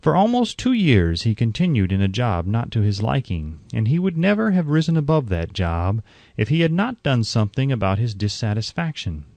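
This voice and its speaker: A man reading an audiobook in a measured, emphatic narrating style. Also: medium pitch, big pitch swings, gravelly, darkish tone, dry recording.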